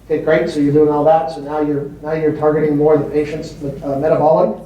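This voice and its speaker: A man's voice: a little high, little pitch movement, fairly quick, warm tone. A male physician giving a conference lecture to a large audience, emphatic and conversational, repeating words for stress. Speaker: physician presenter. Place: large hall before an audience. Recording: echoey hall from far off.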